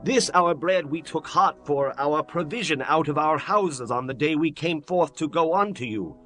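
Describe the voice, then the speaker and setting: An actor playing a humble, wily ancient envoy who pleads solemnly and deliberately in a dramatized scripture audiobook. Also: male voice, on the high side, fairly bright tone, faint music behind, close to an everyday voice. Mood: humble pleading. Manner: solemn, deliberate biblical delivery.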